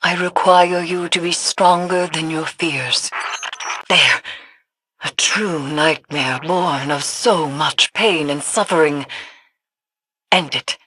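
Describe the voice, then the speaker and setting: A haunted mystic witch for a game, very low and husky with a tense edge. She speaks slowly and solemnly, as a stern guide, in a dry close sound. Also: fairly bright tone, no room sound, heavily acted. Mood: stern intensity. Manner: solemn, intense, incantatory. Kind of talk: video game character acting.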